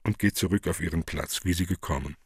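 A man narrating a radio play, reading stage directions in a measured, matter-of-fact way. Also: sits low, slightly rough, no room sound, clean studio sound.

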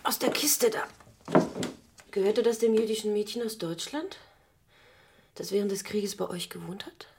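An actress playing an inquisitive, persistent young granddaughter in a radio drama, eager and probing. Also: female voice, mid-range voice, moderate pace, pauses often, darkish tone, a little hiss or hum, close to an everyday voice. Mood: curious, insistent.

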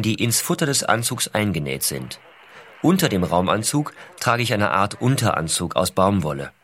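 Astronaut father, low pitch, scripted letter narration, measured, intimate, explanatory. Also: fairly bright tone. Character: male American astronaut father, explaining patiently and tenderly. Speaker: voice actor reading letters.

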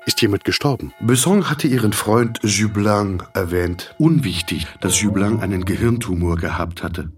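Actor as low, distant, world-weary middle-aged man. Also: normal speed, few pauses, soft background music, close to an everyday voice. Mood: detached, musing. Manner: reflective, sardonic. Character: weary, detached male stroke patient, inwardly narrating his thoughts. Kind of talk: literary scripted narration, inner monologue.

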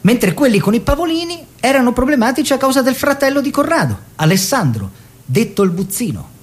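An actor playing a proud, stubborn, food-loving Italian painter husband in a stage-style drama, with an opinionated, fussy manner. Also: male voice, very high-pitched, sing-song, a little fast. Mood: reflective. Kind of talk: theatrical two-hander, letters and dialogue.

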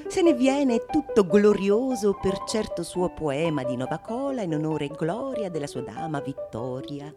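Female actress-narrator, theatrical storytelling with deliberate emphasis and very lively intonation. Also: on the low side. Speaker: actress-narrator of a stage monologue.

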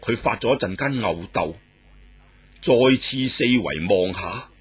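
A man telling a martial arts tale in traditional storytelling style, animated and exclamatory with dramatic pauses. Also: on the low side, breathy edge.

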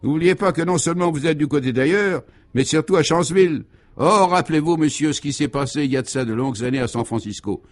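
Radio comedy role: fat, jovial mayor acted by a man, high excited pitch, pompous and declamatory. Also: quick pace, natural voice. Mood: proudly emphatic. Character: jovial, fat small-town mayor, unshakably optimistic.

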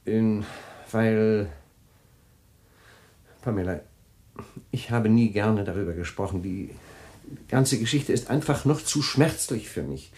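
A man's voice: lowish voice, slow, long pauses, a little mellow. An actor in a German radio drama playing a wealthy, evasive husband who soothes and dismisses his wife. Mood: pained. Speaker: husband James.

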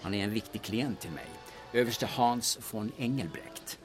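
Man playing a low-voiced, shifty schemer. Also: animated, over music, close to an everyday voice. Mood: hesitant, wary. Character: shifty Stockholm auction man, sneaking and scheming. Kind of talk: audio drama acting with muttered inner monologue.

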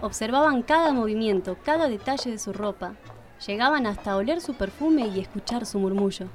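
A woman's voice: a little high, lively intonation, brisk, faint music behind. A woman with an Argentine accent reading scripted narration for a radio play.

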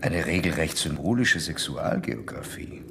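A man's voice: a bass voice, animated, measured pace, noisy background, heavily acted. An actor playing a pompous male psychoanalyst in a radio drama, lecturing a patient in formal, pedantic, insistent terms. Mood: clinical, pedantic.

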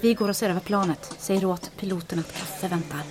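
A woman voicing a brisk resistance agent who gives crisp commands. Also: fairly low pitch, fairly even intonation, a crowd in the background, lightly acted. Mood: calm commanding. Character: brisk female resistance agent leading a mission.

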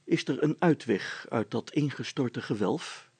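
Gravelly male storyteller, close and dry. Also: mid-range voice, expressive, a little slow, a little mellow, no room sound. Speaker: storybook narrator. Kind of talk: scripted story narration.

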